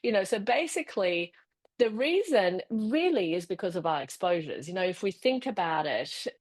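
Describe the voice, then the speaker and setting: A woman's voice: mid-range voice, sing-song, moderate pace. A middle-aged woman from Brazil with an Australian accent, a fertility specialist answering at length in a podcast interview, explaining thoughtfully with some restarts.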